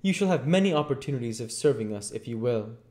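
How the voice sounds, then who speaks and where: Man as a genteel young woman, raised pitch, gentle, gracious, formal, kindly storybook benefactress. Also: expressive, fast, lightly acted. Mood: tender, encouraging.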